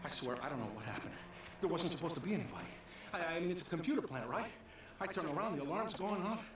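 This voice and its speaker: Actor as a frightened, fast-talking young underling. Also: male voice, a little high, expressive, natural voice. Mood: panicked. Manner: stammering, hurried, deferential. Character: frightened young male underling, pleading, nervously babbling. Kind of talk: video game dialogue. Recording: echoey, distant in-game audio under music.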